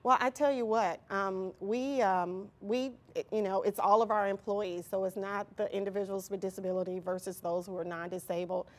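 A woman's voice: medium pitch, steady tone, moderate pace, few pauses. A woman answering as an interview guest in a conversational way, often searching for her words. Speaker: interview guest from an employment nonprofit.

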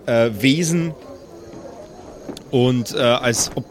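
A man's voice: mid-range voice, very slow, slight background noise. A man acting as game master, casually improvising a tabletop game and often searching for his words.

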